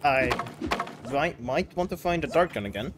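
A woman's voice: sits low, normal speed, background noise. A woman playing a team game over voice chat, exclaiming and reacting to what happens. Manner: lively, exclaiming at game events. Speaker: teammate. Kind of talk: casual gaming chat. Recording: game music behind voice. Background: game music.

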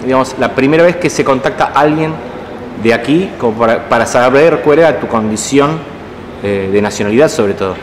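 An Argentine man with a Rioplatense accent and a mid-range voice asks short, brisk interview questions. There's a long reverb and a faint hiss behind him. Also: medium pitch. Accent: Rioplatense Spanish. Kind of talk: journalist interview.

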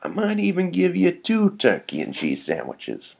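A man's voice: high voice, huge pitch swings, moderate pace, creaky, a little mellow, breathy edge, voice pushed up, heavily acted. A man voicing a cocky, aggressive teenage gang recruiter who swaggers and swears in a threatening way. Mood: coaxing.

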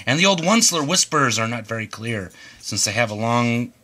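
A man reads a children's rhyming storybook aloud with a very animated, swooping pitch. His voice is bright and a bit husky. He leans on dramatic pauses and emphasis. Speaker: storybook reader.

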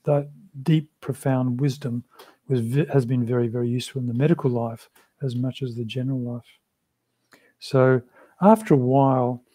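A man, answering interview questions in a measured, thoughtful way over a video call from a small room. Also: mid-range voice, dark tone, no echo. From Australia. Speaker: interview guest, Buddhist practitioner. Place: small room with a shrine, indoors.

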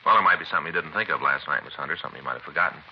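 A man's voice: extremely low pitch, racing. A man playing a patient, polite American police detective in a plain 1950s radio drama manner. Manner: polite, plain, matter-of-fact. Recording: dull, very noisy 1950s radio recording.

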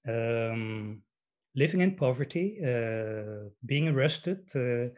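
A man's voice: medium pitch, lively intonation, slow, darkish tone. A middle-aged Dutchman with a Dutch accent on an online panel, the sort heard recalling his youth phrase by phrase, in a mid-range voice. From Netherlands. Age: 50s. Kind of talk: personal storytelling on a panel. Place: indoor room at home, video call.

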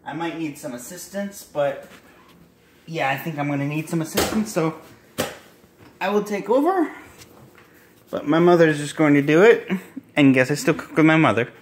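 A young man with a slightly high voice talks his way through a home cooking demo, rambling and correcting himself. He's filmed on a phone in an echoey kitchen, with faint clatter of dishes behind him. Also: lively intonation, normal speed, long pauses, slight background noise. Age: young adult. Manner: rambling, self-correcting, chatty asides. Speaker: cooking vlogger. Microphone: handheld phone camera.

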